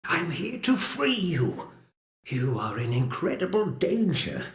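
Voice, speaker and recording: Grave secretive helper, slightly high creaky voice, slow ominous delivery, game cutscene, echoey room. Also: male voice, huge pitch swings, exaggerated voice. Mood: grave warning. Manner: deliberate, ominous, theatrical. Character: grave, secretive male cathedral helper, urgent and protective. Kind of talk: dramatic video game cutscene acting.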